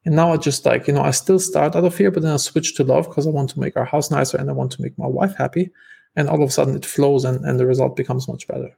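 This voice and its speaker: Man, German accent, raspy, gravelly, casual storytelling guest. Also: mid-range voice, steady tone, quick pace, dark tone. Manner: talkative, many fillers and restarts. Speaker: podcast guest, entrepreneur.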